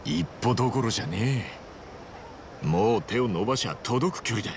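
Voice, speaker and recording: An actor playing an earnest, excitable, rough-edged gangster hero in a game, with a gravelly voice and boyish, casual delivery. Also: male voice, on the low side, normal speed, background noise. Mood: excited, sincere. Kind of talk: animated Japanese game cutscene acting.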